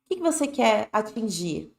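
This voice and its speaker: A woman's voice: fairly high pitch, big pitch swings, normal speed, vocal fry. A woman with a Brazilian Portuguese accent, teaching an English lesson on a live stream in a casual, direct way, often checking in with "né?" and "tá bom?". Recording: echoey room.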